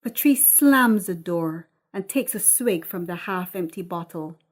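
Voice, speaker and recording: A woman's voice: lowish voice, lively intonation, unhurried. A woman narrating a screenplay, reading out scene headings and stage directions. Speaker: script reader, narrator.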